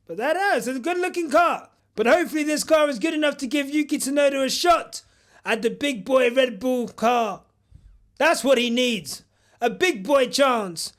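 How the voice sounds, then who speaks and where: Mock-pompous man, high voice. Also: a bit bright. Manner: mock-pompous, boastful, jokey asides. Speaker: comedic news host. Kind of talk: parody news commentary.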